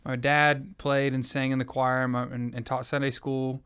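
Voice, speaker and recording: An earnest young man recounts his personal testimony in a reflective, conversational way. His voice is smooth and clear. He keeps his pitch fairly flat and even as he speaks. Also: moderate pace. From American South. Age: young adult. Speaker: interviewee sharing his faith story.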